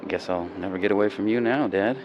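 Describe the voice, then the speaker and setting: A man's voice: lowish voice, fast, muffled recording, slight background noise, lightly acted. A man playing an easygoing, evasive young 1950s doctor and husband in a radio drama. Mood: breezy.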